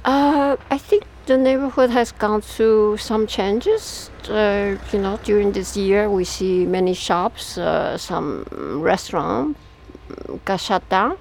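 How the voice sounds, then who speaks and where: A woman from Taiwan speaking as an interview guest in casual conversation, with halting, pause-filled non-native English. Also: medium pitch, a little mellow, a little hiss or hum, rough recording. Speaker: interview guest, media artist.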